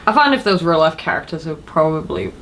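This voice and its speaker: Woman on a podcast, slightly low voice, chatting casually, faint noise in the room. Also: animated, fast, fairly bright tone. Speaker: podcast co-host. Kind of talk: casual chat.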